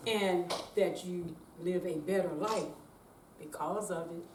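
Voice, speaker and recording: A woman's voice: fairly low pitch, slow, a little mellow. An older woman giving a dramatic reading as an amateur performer in a senior center room. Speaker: amateur readers theater performer. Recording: distant, echoey room with faint noise.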